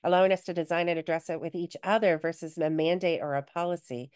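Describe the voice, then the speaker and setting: A woman co-hosts a webinar on a video call, with a deep, smooth voice. She reads chat comments aloud and builds on them in an encouraging way. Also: steady tone, fast, darkish tone. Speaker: webinar co-host. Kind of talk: conversational webinar discussion.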